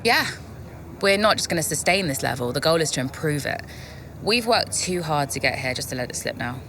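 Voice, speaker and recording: A young, competitive racing driver in a game cutscene. Her voice is slightly low, assured and earnest, set against clear vehicle noise. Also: expressive, fairly quick, a bit bright. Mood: determined. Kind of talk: acted media interview.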